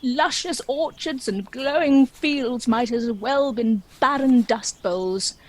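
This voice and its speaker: A woman's voice: on the high side, slow pace, darkish tone. A woman playing a proud, lonely, world-weary dragon in a comic play, with a theatrical, wry and indignant manner. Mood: wistful, confiding. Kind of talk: dramatic monologue in a comic play.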